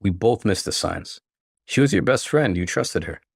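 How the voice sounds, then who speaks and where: A man's voice: on the low side, lively intonation, normal speed, creaky, breathy edge, no echo, studio quality, exaggerated voice. A man voicing a hurt, devoted young husband in a story reading, earnest and emphatic. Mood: remorseful, gentle.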